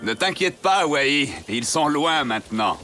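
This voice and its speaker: A man's voice: on the high side, expressive, measured pace, noisy background. A man voicing a stern, authoritative father wolf who leads his pack in a cartoon. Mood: reassuring, resolute. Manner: grave, authoritative. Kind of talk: French cartoon dubbing. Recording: loud music behind the voice.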